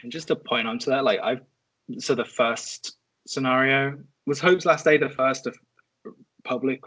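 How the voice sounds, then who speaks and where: A man's voice: medium pitch, moderate pace, a bit bright, poor audio. A man speaking casually as a panelist in a podcast conversation.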